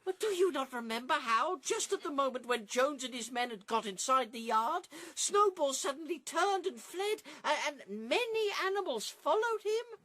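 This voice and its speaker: A woman's voice: high-pitched, expressive, moderate pace, few pauses, fairly bright tone. A woman playing a glib, scheming propagandist pig in a radio drama, insistent and slyly persuasive.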